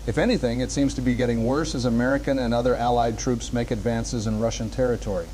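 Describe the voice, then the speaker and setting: A man's voice: mid-range voice, steady tone, quick pace, a little mellow, close to an everyday voice, rough recording. A man playing a news anchor with background noise. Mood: grave newsreading. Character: male news anchor reporting on a war crisis. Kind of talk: scripted radio or TV news bulletin.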